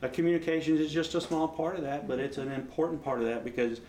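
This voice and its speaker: A man's voice: a little high, fairly even intonation, quick pace, a little mellow, slight background noise. A man talking casually and plainly as an amateur radio volunteer being interviewed. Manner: informal, plainspoken. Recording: roomy, echoing interview recording.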